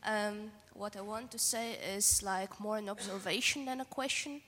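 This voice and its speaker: A woman's voice: medium pitch, moderate pace, sharp tone, a little hiss or hum. A woman from Bulgaria, making an informal, hesitant comment from the audience at a discussion. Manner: tentative, casual, hesitant. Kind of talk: informal spoken remark at a discussion. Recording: echoey hall with long reverb.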